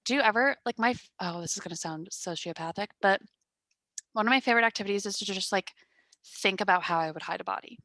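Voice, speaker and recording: A woman in her twenties hosting a podcast on a headset, chatting casually in a calm, fairly monotone voice with lots of fillers and deadpan humor. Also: medium pitch, fast talker, dry recording. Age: 20s. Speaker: podcast host. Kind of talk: casual chat. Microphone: gaming headset.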